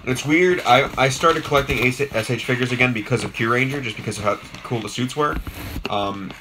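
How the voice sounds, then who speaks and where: A young man with a raspy, hoarse voice chats casually on a live stream, rambling with many restarts and greeting viewers. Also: medium pitch, background noise. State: hoarse from a sore throat. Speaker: YouTube host doing a live unboxing.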